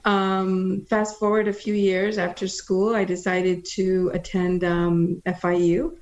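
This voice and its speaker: A woman's voice: mid-range voice, monotone, slow, darkish tone, a little hiss or hum. A woman from Guyana who grew up in Florida, chatting casually as an interview guest on slightly lo-fi audio. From born in Guyana, moved to Florida as a child. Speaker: podcast guest.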